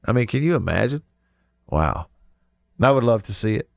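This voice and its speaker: A man's voice: mid-range voice, sing-song, moderate pace, gravelly, dry recording. A man hosting a radio sports talk show in a studio, congested from a cold, easygoing and chuckling, with frequent restarts. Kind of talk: casual radio chat.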